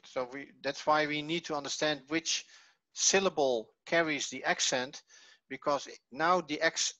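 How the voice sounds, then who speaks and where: Man, online teacher, slow pace, bright tone, halting explanatory delivery. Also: fairly high pitch, no room sound, dull audio. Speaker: Hebrew teacher. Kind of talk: online lesson, reading and explaining a textbook. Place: online video call.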